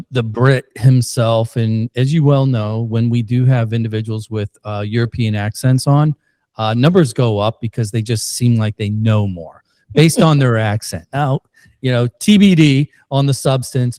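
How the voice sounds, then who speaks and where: A man's voice: medium pitch, mellow, no echo. A man hosting a podcast, asking rambling questions with plenty of jokes and restarts. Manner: joking, rambling, halting questions. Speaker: podcast host. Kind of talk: podcast interview. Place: Missouri.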